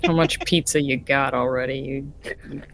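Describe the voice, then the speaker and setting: A woman's voice: extremely low pitch, steady tone, a little slow, slight background noise. A woman playing in a casual tabletop game, chatting and teasing the others playfully. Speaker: player.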